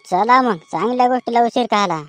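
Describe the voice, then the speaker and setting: High-pitched rural Maharashtrian woman, cartoon banter. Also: fairly quick, heavy background noise. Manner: colloquial village banter. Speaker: cartoon voice performer. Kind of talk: rustic comic cartoon dialogue.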